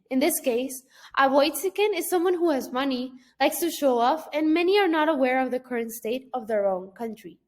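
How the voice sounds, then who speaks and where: A young woman from Mexico, a Spanish speaker with a Mexican accent, reading a class presentation in careful, list-like phrases. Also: high voice. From Mexico (Guadalajara). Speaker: student.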